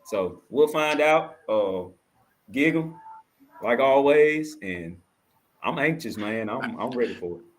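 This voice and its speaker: A man, a guest on a casual sports chat, starting hesitantly and building up to excited hype. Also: mid-range voice, slow, a little hiss or hum. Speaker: guest panelist.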